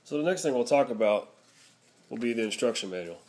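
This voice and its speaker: A man's voice: mid-range voice, lively intonation, fairly quick, darkish tone. A man narrating a casual product review, explaining things step by step.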